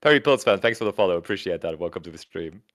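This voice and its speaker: A man's voice: low-pitched, animated, fast, fairly bright tone. A man with a German accent doing casual livestream commentary, chatting with his viewers, reading their messages aloud and laughing often. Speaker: tournament co-commentator.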